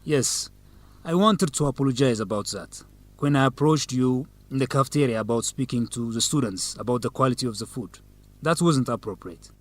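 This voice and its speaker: Actor as a formal, manipulative student council president. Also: male voice, mid-range voice, close to an everyday voice. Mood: formal, apologetic. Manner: formal, measured, insistent. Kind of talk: educational radio drama acting.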